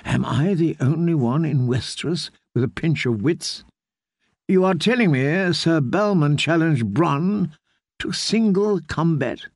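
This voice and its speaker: A man's voice: fairly high pitch, expressive, unhurried, vocal fry, no echo, clean studio sound, voice pushed up, full character voice. A man voicing a proud queen who gives orders in a cutting, commanding way. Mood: imperious. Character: imperious, scornful queen.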